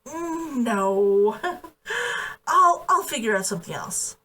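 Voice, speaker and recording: A woman's voice: fairly high pitch, lively intonation, slow pace, bright, forceful tone, exaggerated voice. A woman reading the part of a proud, defiant and playful young woman in a novel, in an emphatic, teasing manner. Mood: flustered, earnest. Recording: distant from the mic.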